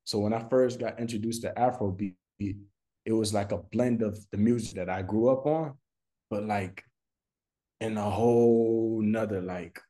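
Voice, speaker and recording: Low, mellow-voiced American man. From Florida, US. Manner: informal, slangy, repeats phrases for emphasis. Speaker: recording artist being interviewed. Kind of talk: casual interview chat.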